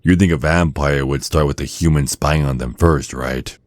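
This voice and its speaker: An extremely low-voiced vampire acted out by a man, smug and possessive, speaks close and dry as if to a captive. The delivery is seductive, teasing and deliberate, in the manner of an intimate ASMR roleplay. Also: fairly even intonation, dry recording. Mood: smug, hungry. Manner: slow, teasing, deliberate, with breathy drops toward a whisper. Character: menacing, possessive male vampire neighbor, smugly seductive.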